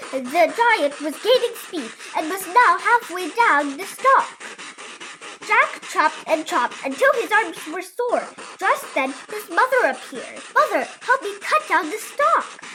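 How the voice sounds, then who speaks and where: A woman reads a children's storybook aloud, slow and expressive. Her voice is very high and bright, and it rises and falls with lively, animated swings. Every line is paced gently for young listeners. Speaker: children's book reader. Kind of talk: storybook read-aloud narration.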